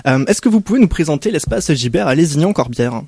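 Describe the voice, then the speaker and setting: Fairly high-voiced male radio host. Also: sing-song, fast talker, gravelly, a little mellow, husky, no echo, studio quality. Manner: hesitant, frequent fillers. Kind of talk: local radio interview.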